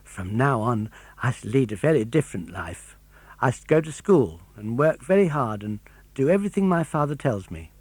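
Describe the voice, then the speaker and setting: A man's voice: medium pitch, sing-song, normal speed, a little mellow, breathy edge, no echo. A man voicing a naive, eager little wooden puppet boy in a children's storybook, wide-eyed, impulsive and earnest. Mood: chastened.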